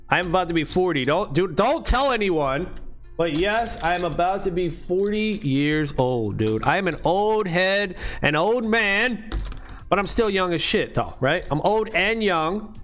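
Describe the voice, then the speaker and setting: A man in his late thirties with a slightly high voice, talking in relaxed, slangy, swear-heavy live-stream chat. He keeps calling listeners "dude" and "man". Soft music plays faintly behind him. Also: unhurried. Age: late 30s. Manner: laid-back, slangy and profane, dude-and-bro talk. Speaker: livestream host.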